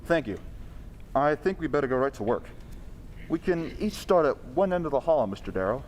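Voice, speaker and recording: Man as calm investigator, lively reverberant voice. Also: mid-range voice, fairly quick, close to an everyday voice. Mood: calm, businesslike. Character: calm, confident male investigator. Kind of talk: old-time radio drama acting. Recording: reverberant hall, faint noise.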